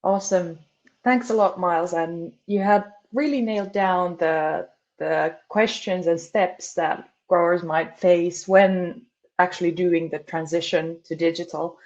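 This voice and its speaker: A woman from Finland, a customer success specialist, giving a measured webinar presentation with frequent "uh" fillers. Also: fairly low pitch, fairly even intonation, highs cut off.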